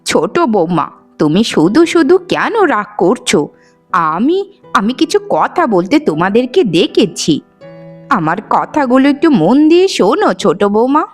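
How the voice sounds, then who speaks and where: A woman's voice: mid-range voice, very animated, normal speed, soft background music. A woman voicing an ailing, anxious old mother-in-law in a cartoon, kindly, fretful and pleading. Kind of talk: Bengali cartoon voice acting.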